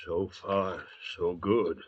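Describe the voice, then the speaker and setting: Surly, slow-talking convict thief. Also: male voice, low voice, little pitch movement. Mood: wary, grudging. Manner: gruff, grumbling, blunt. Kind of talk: 1940s radio acting. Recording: muffled 1940s radio recording.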